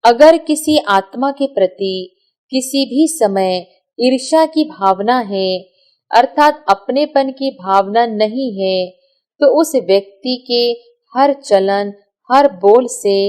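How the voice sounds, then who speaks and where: Slow-paced woman reading a spiritual discourse. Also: on the high side, a little mellow, clean tone. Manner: measured, deliberate phrasing with short pauses. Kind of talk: scripted devotional reading.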